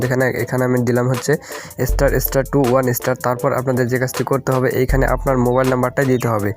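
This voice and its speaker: Fast-talking man over clear background music. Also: medium pitch. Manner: repeatedly checks in with the listener. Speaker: tech tutorial host. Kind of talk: informal step-by-step video tutorial narration.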